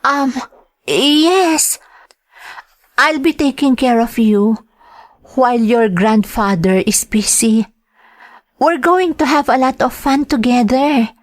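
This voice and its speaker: A woman's voice: sits high, animated, takes it slowly, long pauses, dry recording. A woman acting in a drama as a devoted, homesick Filipino mother working as a nanny, with a Filipino accent and an earnest, polite way of speaking. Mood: kind, polite. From Philippines. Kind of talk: radio drama acting and narration.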